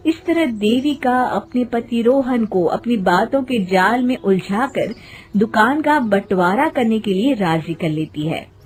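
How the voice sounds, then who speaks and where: A woman's voice: on the high side, animated, unhurried, darkish tone, faint music behind. A woman narrator reading a scripted moral tale.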